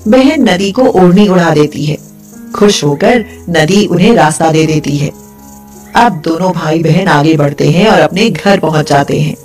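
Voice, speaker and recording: A woman's voice: fairly low pitch, a little mellow. A woman narrating a scripted folk tale as a storyteller.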